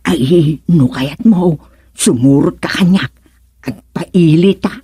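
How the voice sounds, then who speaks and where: A friendly, persuasive village woman in a radio comedy drama. Her voice is husky and mid-pitched, her delivery slow, recorded dry and close. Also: warm tone, no echo, heavily acted. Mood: coaxing.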